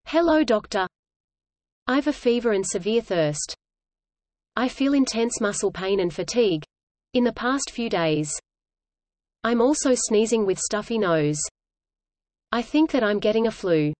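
Higher-voiced woman reading lesson lines. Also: dry recording. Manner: measured, even delivery, each line repeated. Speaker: English lesson reader. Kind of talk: scripted lesson dialogue read aloud.

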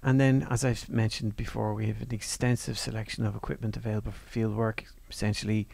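A man giving a university course presentation over slides in a relaxed, conversational way, with fillers and restarts. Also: on the low side, a little fast, creaky, dry recording. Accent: non-Northern Irish.

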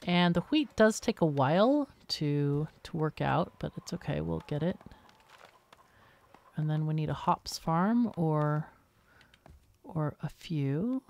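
A woman's voice: deep voice, expressive, slow pace, vocal fry, a little mellow. A woman hosting a gaming video, casually thinking aloud and explaining as she plays. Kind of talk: casual gameplay commentary.

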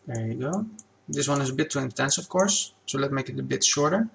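A man whose first language is Dutch, talking through a software tutorial at his computer in a relaxed, plain step-by-step way. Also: medium pitch, a little fast, gravelly, a little hiss or hum. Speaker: game asset creator.

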